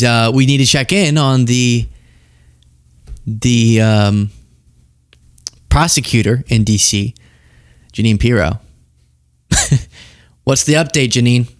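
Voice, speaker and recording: A man's voice: medium pitch, clear, resonant tone, no echo, clean studio sound. A man hosting a political commentary show, talking casually and sarcastically, laughing often.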